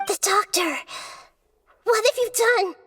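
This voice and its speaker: Little girl deity, video game, very high-pitched, unhurried, gentle, vulnerable, earnest. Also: full character voice. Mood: alarmed. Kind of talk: English video game voice acting.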